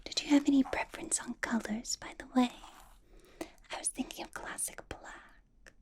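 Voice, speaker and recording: A woman playing a doting, possessive vampire boss in a soft, breathy whispered ASMR roleplay, coaxing and fussing with a lightly bossy edge. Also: a little high, steady tone, normal speed, lightly acted. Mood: gentle, fussing. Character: doting, possessive female vampire boss, older than she looks.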